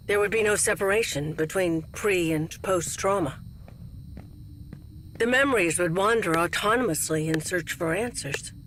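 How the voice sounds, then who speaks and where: An actress playing a haunted, secretive older woman, grave and measured, in a dramatic game cutscene. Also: on the low side, fairly bright tone, a little hiss or hum. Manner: solemn, measured.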